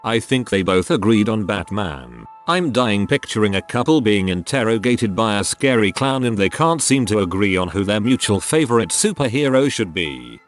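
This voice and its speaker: A man reading online stories aloud in a steady, even scripted narration. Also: fairly low pitch, moderate pace, hardly any pauses, soft background music.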